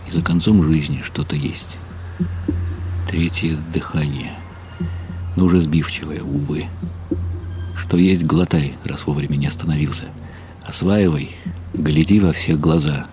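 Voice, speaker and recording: World-weary ironic husband narrating a radio play; very deep, mellow, bitterly resigned, light music underneath. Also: male voice. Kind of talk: dramatic literary narration in an audio play.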